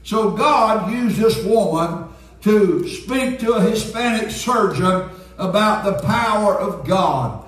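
A man's voice: sits high, animated, slow, a bit bright. A man preaching an earnest Pentecostal sermon as a pastor. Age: 70s. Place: church sanctuary, indoors at a pulpit. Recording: very echoey church sanctuary, distant sound.

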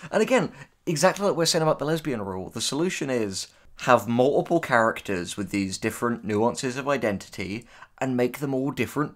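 A man's voice: medium pitch, fairly bright tone. A man co-hosting a casual reaction commentary, blunt and opinionated in how he talks. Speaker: co-host, writer reading out and reacting to tips.

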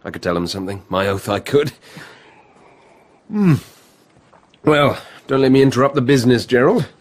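An actor playing a cocky, feckless drinker from a genteel family in a radio drama, breezy and sneering. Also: male voice, medium pitch, normal speed, lightly acted. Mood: breezy, sour.

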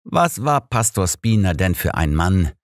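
A man voicing a brisk, impatient, probing elderly detective in an audiobook. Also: deep voice, sing-song, no room sound, studio quality.

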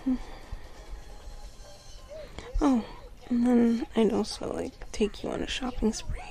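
A young woman doing girlfriend ASMR roleplay in a soft, sleepy voice that often drops to a whisper, murmuring affectionately and drowsily. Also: fairly high pitch, takes it slowly. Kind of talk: ASMR girlfriend roleplay, casual intimate chat.

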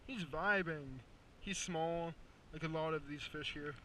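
A young man vlogging his fishing trip at a pond, chatting casually and joking, with loud excited cheers when he hooks a fish. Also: on the high side, measured pace. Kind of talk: casual outdoor fishing commentary. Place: outdoors at a pond. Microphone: head-mounted action camera. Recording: distant mic with outdoor noise.

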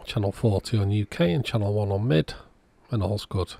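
A man's voice: lowish voice, animated, normal speed, vocal fry, mellow. A man giving a casual, matter-of-fact workbench narration as the host of an electronics repair video.

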